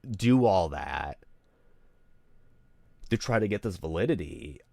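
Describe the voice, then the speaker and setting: A man's voice: deep voice, lively intonation, slow pace, creaky, mellow, no room sound. A streamer man ranting candidly to his live audience, rambling, emotional and full of swearing. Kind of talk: candid venting on a livestream.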